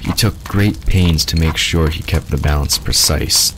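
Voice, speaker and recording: An extremely low male voice narrates a scripted story. He reads as the narrator of an audio drama, with faint ambience and noise behind him. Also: a little slow.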